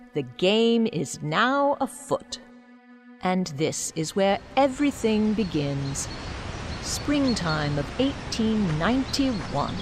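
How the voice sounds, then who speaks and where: A woman playing a mysterious guide, narrating a radio drama in a theatrical storytelling manner. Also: medium pitch. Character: mysterious female guide narrating dark tales from a crypt.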